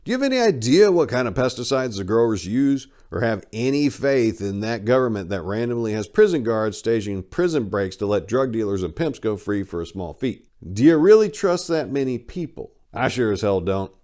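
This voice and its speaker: A man's voice: mid-range voice, very animated, a little fast, hardly any pauses, mellow. A man giving a dramatic reading of a satirical monologue in a sarcastic, opinionated, rhetorical manner. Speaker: narrator reading a book aloud.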